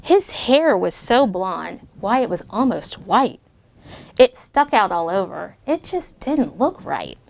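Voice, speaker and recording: A middle-aged American woman, a military officer, reading a children's picture book aloud with lively, animated delivery and voiced character lines. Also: medium pitch, slow, creaky. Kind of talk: storybook read-aloud.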